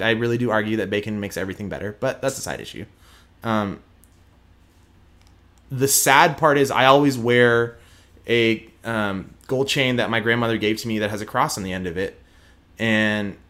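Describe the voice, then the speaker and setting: A man's voice: medium pitch, a bit bright. Earnest man with a slight Texas twang. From Houston, Texas. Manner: earnest, emphatic, restarts mid-sentence. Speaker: guest, prosecutor. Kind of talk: candid interview conversation.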